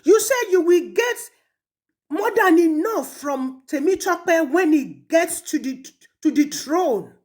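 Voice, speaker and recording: A woman's voice: very high voice, animated, slow pace, darkish tone. A woman with a Nigerian accent delivering a confrontational monologue, scolding and taunting someone with heavy emphasis. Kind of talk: confrontational monologue addressed to an absent person, mixing two languages.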